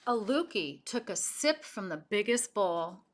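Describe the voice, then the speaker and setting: Expressive, unhurried woman reading a storybook aloud. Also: medium pitch, a little hiss or hum. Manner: addresses young listeners with explanations and questions. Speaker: storyteller reading a picture book aloud to children. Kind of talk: read-aloud storytelling with chatty asides.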